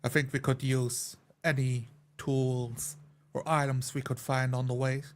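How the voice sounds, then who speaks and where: A man's voice: medium pitch, level tone, unhurried. A man playing a tabletop roleplaying game, narrating his character's actions and speaking in character.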